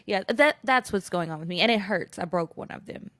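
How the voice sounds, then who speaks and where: An American woman with a bright, forceful voice in a close, dry recording. She talks like a podcast co-host catching up with girlfriends, candid and full of slang. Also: medium pitch, animated, brisk, dry recording. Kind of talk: casual, chatty podcast talk.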